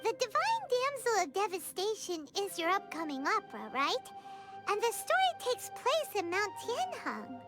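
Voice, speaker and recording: A woman voicing a tiny, excitable, childlike fairy companion in a video game, with a squeaky voice and an exclamatory manner. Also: normal speed, exaggerated voice. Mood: curious. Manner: excitable, exclamatory, talks of self in third person. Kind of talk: video game character acting. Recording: background music throughout.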